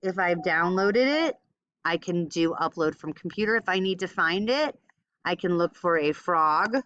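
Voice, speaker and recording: A woman teaching a step-by-step software tutorial informally, thinking aloud as she demonstrates. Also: lowish voice, animated, normal speed, smooth, no echo, dull audio. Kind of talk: casual screen-recorded step-by-step tutorial.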